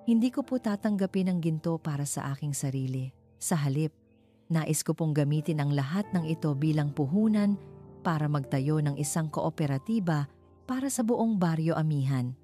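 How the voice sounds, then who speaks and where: A woman narrator voicing a calm, generous heiress who makes steady, earnest declarations in a love story. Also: low voice, normal speed. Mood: earnest, resolute. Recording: music under the voice.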